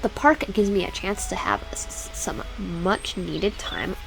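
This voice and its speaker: A woman's voice: medium pitch, animated, gravelly, fairly bright tone, faint music behind, low-quality recording. A young adult woman reading a horror visual novel aloud, stumbling and restarting often, then chatting to her viewers. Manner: halting reading with many restarts, chatty asides. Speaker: gaming YouTuber.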